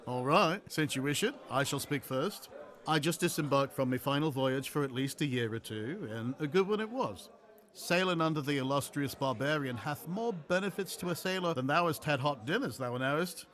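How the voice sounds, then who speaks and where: A man playing a weathered, shrewd, boastful sailor in an audio play, speaking in a rough, weighty, archaic and flirtatious way. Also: a little high, lively intonation, fairly bright tone. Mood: boastful, suggestive. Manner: deliberate, weighty, archaic speech.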